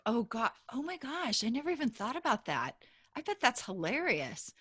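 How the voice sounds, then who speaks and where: A woman hosts a chatty podcast interview, her voice bright and forceful. She laughs often and trips over her own sentences as she goes. The sound is dry and close, with no room in it. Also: on the high side, big pitch swings, moderate pace, breathy edge, no room sound. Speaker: podcast host.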